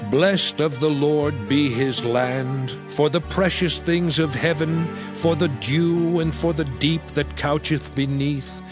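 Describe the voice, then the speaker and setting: A solemn old male prophet, played by a man, declaims scripture earnestly. He speaks very slowly in a slightly high male register, with faint music behind him. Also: steady tone. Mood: reverent blessing. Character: Moses, solemn elder prophet blessing his people. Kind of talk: dramatized scripture reading. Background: faint background music.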